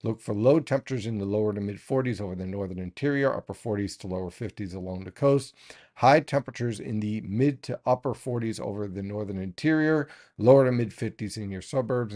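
A man in his thirties presents a weather forecast to the camera from a small home studio. He explains at an even, steady pace and addresses his viewers directly, recorded on a desk mic. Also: lowish voice, fairly quick.

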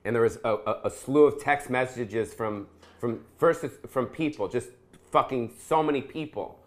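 A man's voice: mid-range voice, moderate pace. A man in his fifties hosting a casual chat, playful and teasing, laughing often. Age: 50s. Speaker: host. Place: home kitchen, indoors.

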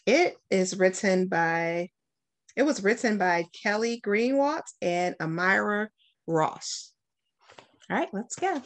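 Animated American woman reading aloud, slow pace. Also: medium pitch. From Detroit, United States. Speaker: school principal reading aloud to children. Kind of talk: storytime picture-book read-aloud. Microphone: webcam at about arm's length.

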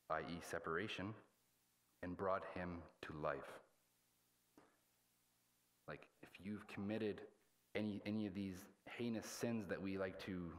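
Very deep-voiced man preaching. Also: fairly bright tone, slight background noise. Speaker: pastor preaching. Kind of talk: sermon with scripture reading. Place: indoors at a church pulpit.

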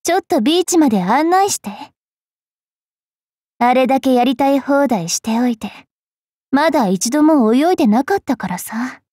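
A woman's voice: high-pitched, animated, normal speed, pauses often, a little mellow, no echo, studio quality. A woman voicing a haughty, teasing young female fairy knight in an anime mobile game. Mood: teasing, casual. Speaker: voice actress.

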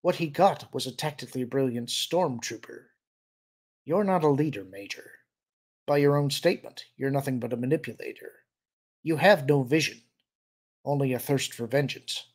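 A man voicing a calm, weary senior military officer in an audiobook, quietly firm and sad, with measured, gentle authority. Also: mid-range voice, natural voice. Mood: gentle, grave.

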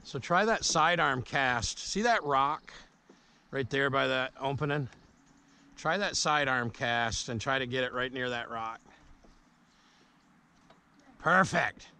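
A man's voice: mid-range voice, slow pace, long pauses, pushed and bright, clear, resonant tone. Easygoing man coaching from a boat. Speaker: vlogger fishing with a boy. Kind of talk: casual outdoor vlog chat. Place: small boat on a river, outdoors. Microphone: action camera mounted on the boat. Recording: faint outdoor noise from a boat camera.

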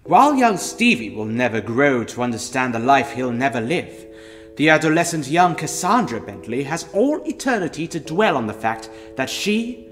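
A man playing a wry, theatrical narrator in an audio drama, delivering scripted narration with droll pauses. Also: a little high.

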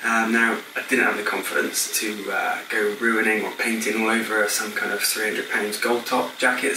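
A man in his thirties talks through his craft project in a relaxed, explanatory way, often pausing on an "uh". He sits a little way off the mic, and steady background noise hums under his voice. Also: mid-range voice, distant mic. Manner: relaxed, explanatory, frequent "uh" fillers. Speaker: DIY vlogger. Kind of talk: casual voice-over narration. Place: home garage workshop.

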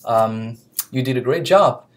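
A man's voice: medium pitch, measured pace. Young chinese guy doing a practice job interview, earnest, lots of ums and restarts, laptop mic in a little office. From China. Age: young adult. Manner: earnest, searching for words, frequent fillers and restarts. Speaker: student interviewee. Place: small office room with whiteboard, indoors. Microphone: laptop webcam mic.

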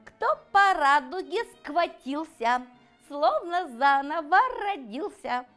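A woman's voice: sits high, lively intonation, slow pace, fairly bright tone, soft background music, voice pushed up, heavily acted. A woman voicing a shy but joyful young female frog in a children's storybook, with sing-song exclamations. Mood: delighted.

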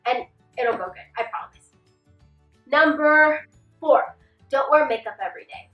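Young female vlogger, high bright voice, stuffy with a cold, slight lisp, chatty delivery, roomy room sound from a few feet off the mic. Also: far from the mic. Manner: chatty, gestures a lot while seated. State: congested from a cold. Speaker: beauty vlogger. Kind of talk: casual tips vlog. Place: small bedroom set with clothes rack.

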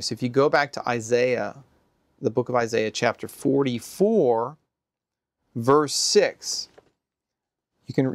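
A man's voice: mid-range voice, no echo. Earnest man teaching scripture, dry sound. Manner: earnest, emphatic, slows down to read scripture aloud. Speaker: Bible teacher. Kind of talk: explanatory Bible lesson to camera. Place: study with bookshelves, indoors.

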